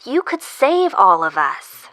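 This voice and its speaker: A woman playing a controlled, earnest official who interrogates someone in an audio drama, speaking formally and in measured phrases. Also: mid-range voice, sing-song, a bit bright, no room sound. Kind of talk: audio fiction acting.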